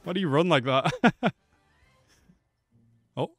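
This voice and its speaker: A man's voice: on the high side, slow. Casual young man reacting to videos. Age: 20s. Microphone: close desk microphone. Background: game trailer music.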